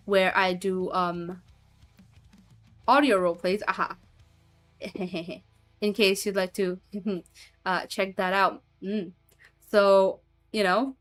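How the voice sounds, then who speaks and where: A woman's voice: medium pitch, steady tone, slow, pauses often, fairly bright tone, soft background music. A woman streamer chatting casually with her viewers and reading chat aloud, giggling often and trailing off with fillers. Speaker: streamer and hobby voice actor.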